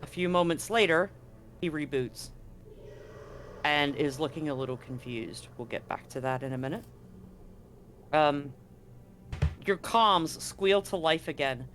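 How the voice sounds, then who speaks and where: A game master narrating a live tabletop sci-fi game in a halting way, pausing mid-phrase. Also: medium pitch, a bit bright, slight background noise. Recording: music under the voice. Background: background music.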